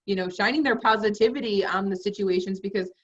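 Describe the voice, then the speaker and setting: A woman's voice: mid-range voice, fast talker. A woman hosting an interview, asking encouraging, rambling questions with many fillers. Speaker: podcast host. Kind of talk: casual interview. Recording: distant, muffled mic.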